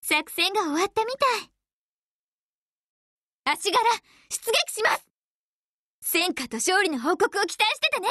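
A high, bright, battle-hungry warship girl. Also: big pitch swings, a little slow, long pauses, no echo, studio quality, exaggerated voice. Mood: commanding. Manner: confident, theatrical, forceful. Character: proud battle-hungry young warship woman, eager for victory. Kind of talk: anime game character voice lines.

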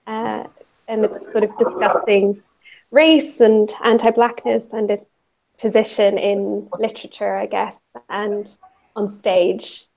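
A woman in her thirties from Sweden speaks thoughtfully on a panel, trailing through fillers and soft hedges. Her voice sits at an everyday middle pitch. The audio comes through a slightly dull video call line from her earphone mic. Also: fairly even intonation, slow, vocal fry. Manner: reflective, many fillers and hedges. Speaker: panelist, poet and author. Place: plain indoor room. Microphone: wired earphone mic on video call.

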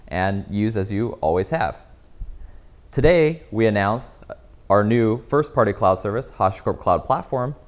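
A man in his thirties with a fairly low voice delivers a product keynote. He explains step by step and stresses his key phrases, speaking into a lapel mic. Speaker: company executive, keynote presenter. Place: indoor office lounge.

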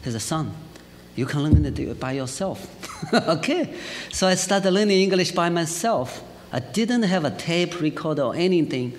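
A middle-aged man from China with a Chinese accent, preaching a sermon and telling a personal story in a lively way, with frequent fillers. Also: on the high side, normal speed. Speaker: pastor. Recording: church hall reverb, faint hiss.

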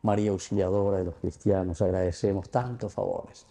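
An older man with a Paraguayan accent speaks as a priest at Mass. His voice is low and very dark and mellow, and his delivery is emphatic and devotional. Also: fairly even intonation, brisk. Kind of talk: gospel reading and homily at Mass. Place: indoor chapel. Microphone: small mic clipped to vestment.

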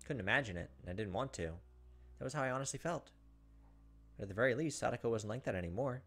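A man's voice: mid-range voice, animated, fast talker, a bit bright. A man, reading visual novel text aloud as a gaming host, with casual asides. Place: indoors, recording at a computer. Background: faint game music.

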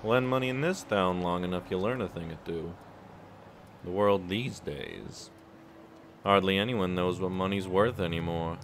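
A man playing a shady, middle-aged loan shark with smooth, worldly, persuasive patter in a video game fan dub. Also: sits low, moderate pace, slight background noise. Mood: worldly, lecturing.

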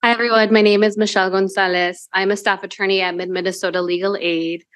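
A woman attorney introducing herself on a panel, quick and self-correcting, at a table microphone in a hearing room. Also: mid-range voice, level tone, dry recording. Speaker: staff attorney. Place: large law school hearing room.

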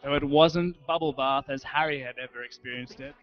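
A man in his thirties giving a measured, poetic literary reading. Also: mid-range voice, fairly even intonation. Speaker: writer reading his own fiction. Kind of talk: literary reading over a live soundscape. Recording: dull lo-fi web stream audio. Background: music.

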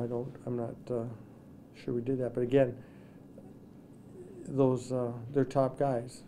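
A middle-aged man, a hockey coach, answering questions at a postgame press conference, talking in halting bits with many restarts. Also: medium pitch, dark tone, a little hiss or hum. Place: press room with sponsor backdrop. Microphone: table microphones in front.

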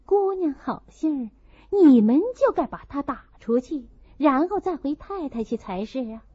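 Woman reader as tactful young maid: high voice, deferential but firm, storybook reading, muffled sound. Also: lively intonation, moderate pace, darkish tone, no echo. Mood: firm, scolding. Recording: dull lo-fi dry recording.

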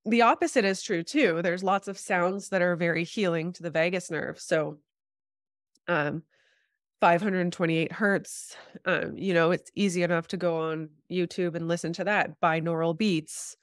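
Low-voiced woman, slightly stuffed up. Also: steady tone, normal speed, no echo, studio quality. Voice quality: slightly congested, stuffed-up nose. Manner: informal, frequent "like" and "you know". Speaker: guest health practitioner, chiropractor and acupuncturist. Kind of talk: casual interview explanation. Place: small room at home, video call. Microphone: video call.

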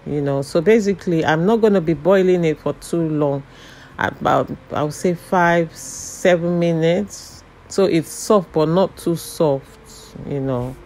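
A man casually talking through a home cooking recipe step by step, relaxed and chatty with frequent fillers. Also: fairly high pitch, slight background noise. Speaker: cooking channel host.

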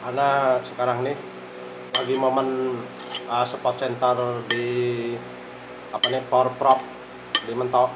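Middle-aged man, food vlogger, even monotone, unhurried, recorded on a tabletop phone with dish clatter. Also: medium pitch. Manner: slow, murmuring, eats between phrases, frequent "eh" fillers. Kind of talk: casual eating vlog. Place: small rented room indoors. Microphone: phone camera on the table. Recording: phone recording with room noise and cutlery clatter. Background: clinking cutlery and dishes.

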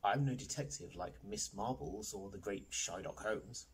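Man playing an embarrassed, husky-voiced shopkeeper. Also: on the low side, a little slow, exaggerated voice. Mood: confiding. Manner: confiding, hushed. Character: earnest, embarrassed male newsagent, chatty shopkeeper. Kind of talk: audiobook character voice for a children's comedy.

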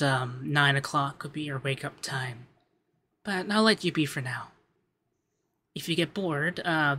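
Soft, unhurried rich femboy acted by a man. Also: a little high, slight background noise. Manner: soft-spoken, giggly, affectionate, hesitant fillers. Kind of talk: ASMR roleplay.

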